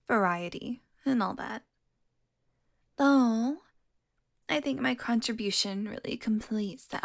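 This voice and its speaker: Sultry, taunting vampire played by a woman, higher-pitched. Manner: taunting, sultry, drops into breathy whispers. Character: teasing, predatory female vampire, smug and flirtatious. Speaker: audio roleplay performer. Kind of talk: intimate audio roleplay acting.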